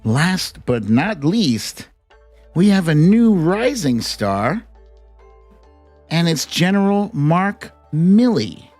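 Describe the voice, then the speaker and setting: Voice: mid-range voice, sing-song, takes it slowly, a little mellow, faint music behind. An American livestream host chatting casually, greeting viewers in a playful, slangy, informal way. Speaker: host of a live online show. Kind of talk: casual livestream chat with shout-outs to viewers.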